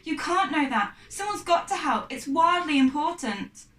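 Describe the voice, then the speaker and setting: A woman's voice: sits high, expressive, normal speed, a bit bright, voice pushed up. A woman reading the part of an earnest, determined, anxious young girl in a storybook. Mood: urgent. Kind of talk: storybook character dialogue. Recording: echoey room, distant mic.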